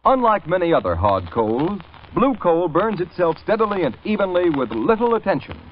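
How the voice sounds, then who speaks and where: A man's voice: sits high, sing-song, normal speed. A man with an American accent reading a script as an old-time radio announcer, formal and emphatic. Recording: muffled, faintly noisy vintage radio recording.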